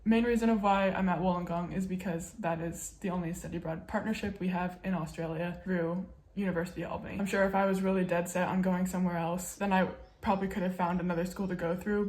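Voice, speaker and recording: A woman's voice: on the low side, fairly even intonation, fast talker, hardly any pauses, a little mellow, roomy sound. A young American woman from upstate New York, chatting casually to her viewers with lots of fillers and self-teasing. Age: young adult. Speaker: vlogger. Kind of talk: casual vlog chat.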